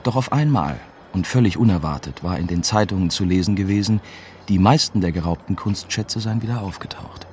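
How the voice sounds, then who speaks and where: An actor playing a young, unsure newspaper reporter who narrates and talks in a radio drama. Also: male voice, low-pitched, a little fast, darkish tone, a little hiss or hum, natural voice. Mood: sober narrating. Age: young adult. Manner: hesitant in dialogue, sober in narration.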